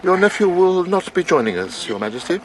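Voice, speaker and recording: An actor playing a scheming, obsequious grand vizier in a radio drama, deferential and placating. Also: male voice, sits high, big pitch swings, a little fast, background noise. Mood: flustered, deferential.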